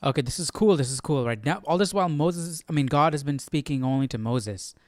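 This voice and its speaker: A man's voice: on the high side, a little fast, no room sound, clean studio sound. A man hosting a livestream study session, commenting in a casual, informal way and often checking in with listeners. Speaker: host of a Bible study livestream.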